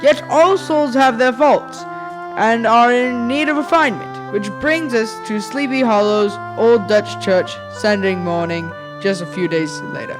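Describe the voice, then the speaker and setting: A woman's voice: a little high, measured pace, lightly acted, poor audio. A young female performer narrating an old village tale in a wry storytelling style for a school radio play. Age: young teenager. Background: instrumental music.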